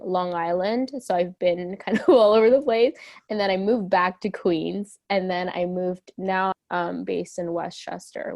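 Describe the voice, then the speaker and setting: A young woman from New York, a guest on a casual interview. Her voice sits at an everyday middle pitch, and she answers thoughtfully, with small laughs now and then. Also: vocal fry, a little mellow. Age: young adult.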